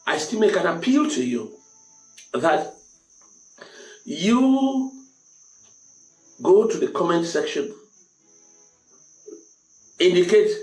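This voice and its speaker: A man with a Cameroonian accent hosting a motivational advice talk, speaking directly to listeners in an emphatic, avuncular way and repeating key phrases. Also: on the high side. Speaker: storytelling show host giving advice. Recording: echoey room with music underneath. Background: music.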